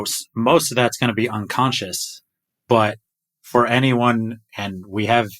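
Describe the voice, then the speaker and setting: A middle-aged man hosts a podcast conversation in an earnest, reflective tone. His voice sits at an ordinary pitch and he talks casually with his guest. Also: a bit bright. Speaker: podcast host.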